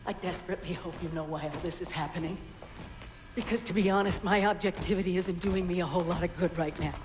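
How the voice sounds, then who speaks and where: A woman's voice: on the low side, fairly even intonation, fast, close to an everyday voice. A woman as an outspoken scientist, long reverb. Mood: wry, uneasy. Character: outspoken female research scientist, anxious, stubborn, wry. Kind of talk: video game cutscene acting. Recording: long reverb, music behind.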